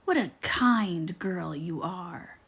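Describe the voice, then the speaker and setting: A woman's voice: slow, gravelly, breathy edge, full character voice. A woman voicing a sly, hungry storybook wolf with a growly, drawling delivery. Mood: sly, menacing. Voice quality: deep, growly.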